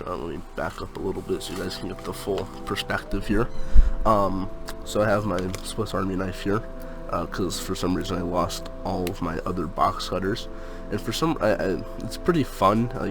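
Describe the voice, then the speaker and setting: Congested young man rambling about sneakers. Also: sits low. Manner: rambling, lots of fillers like um and like. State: congested from a cold. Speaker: sneaker unboxing vlogger. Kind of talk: casual unboxing chat.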